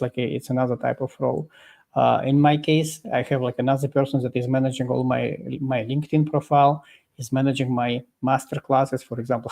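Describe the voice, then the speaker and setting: A man's voice: mid-range voice, darkish tone. Guy guest on a video call, mid voice, keeps stopping and restarting, lots of uhs. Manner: halting, many fillers and restarts. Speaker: guest expert. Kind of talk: explaining in a live interview.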